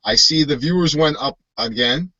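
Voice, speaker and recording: Upbeat man in his thirties, bright tone. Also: fairly high pitch, moderate pace, no room sound, lo-fi sound. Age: 30s. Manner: friendly, upbeat host. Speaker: host. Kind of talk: casual chat on a live video call.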